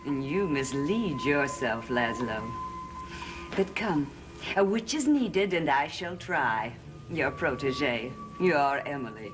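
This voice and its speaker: A woman's voice: low-pitched, animated, takes it slowly. An actress playing a scheming, silkily motherly middle-aged witch in a gothic soap opera, coaxing and theatrical. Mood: cool, knowing. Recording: distant old TV audio under loud music.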